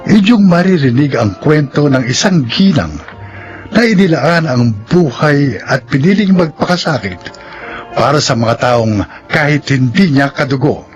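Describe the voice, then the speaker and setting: A man announcing the credits and introduction of a radio drama in a formal, measured manner. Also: a little high, animated. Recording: dull lo-fi old radio broadcast. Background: soft music.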